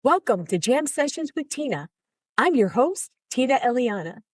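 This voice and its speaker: Woman podcast host, slightly high pitch, polished, formal, dry close recording. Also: sing-song, moderate pace, dry recording. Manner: polished, formal, reads introductions smoothly. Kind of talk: scripted guest introduction and interview questions.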